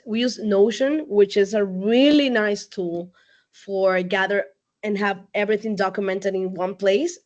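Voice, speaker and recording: A woman, a company CEO, speaking casually as a guest on a video call, laughing often and filling pauses with "um". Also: medium pitch, highs cut off. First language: Spanish. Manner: chatty, laughs often, many fillers. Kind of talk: casual online talk.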